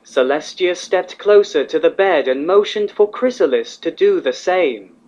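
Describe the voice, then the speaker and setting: Male narrator, lighter high-ish pitch, scripted storytelling, dulled home recording. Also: animated, a little slow, a little mellow, muffled recording.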